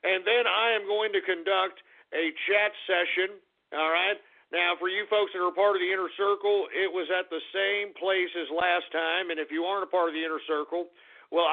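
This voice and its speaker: A hoarse man hosts his internet radio show in a rambling, folksy monologue. His high voice is gravelly and full of fry. The sound comes through a thin, phone-like line. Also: fairly even intonation, moderate pace. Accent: Texan. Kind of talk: casual call-in radio monologue.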